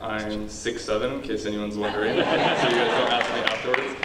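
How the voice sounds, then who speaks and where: A fast-talking man chats casually on a panel. The room echoes, the mic is a bit distant and loud noise surrounds him. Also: on the low side, fairly even intonation. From born in Ukraine, grew up in the United States. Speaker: acrobat in a stage show.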